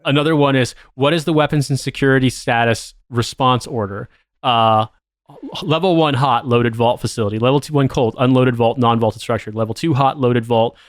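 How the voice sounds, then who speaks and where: A man in a mid-range voice reads a news article aloud in a measured, steady way, then chats in an amused, disbelieving tone. The mic is close and the room is dry, with no echo. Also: medium pitch. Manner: measured reading, amused and incredulous in chat. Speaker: podcast host. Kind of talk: reading an article aloud, then casual chat.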